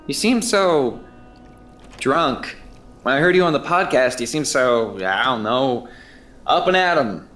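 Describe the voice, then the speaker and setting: A man's voice: medium pitch, animated, measured pace, pauses often, a bit bright. An actor playing an eager, earnest young man of twenty-one, persistent and a bit stammering, in an audio drama. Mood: taken aback. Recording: faint music underneath.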